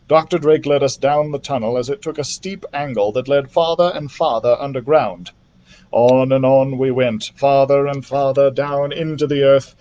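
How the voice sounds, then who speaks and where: A man's voice: mid-range voice, darkish tone, muffled recording. A man reading a children's adventure story aloud as a library storytime reader, with careful, dramatic phrasing. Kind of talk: expressive scripted storybook narration.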